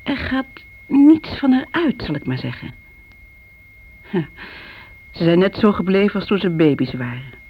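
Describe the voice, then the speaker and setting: A woman's voice: on the low side, big pitch swings, a little slow, pauses often. A middle-aged mother thinking aloud in a radio drama. She is chatty and a little plaintive, justifying herself as she reminisces. The old recording is dull, with a light hiss. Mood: wistful, aggrieved. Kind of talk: radio play monologue, conversational reminiscing.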